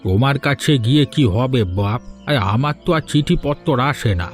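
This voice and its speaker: A man's voice: medium pitch, normal speed, lightly acted. A man voicing a bitter, sarcastic, aggrieved old village father in a Bengali cartoon. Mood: suspicious. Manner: grumbling, sarcastic. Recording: music behind the voice.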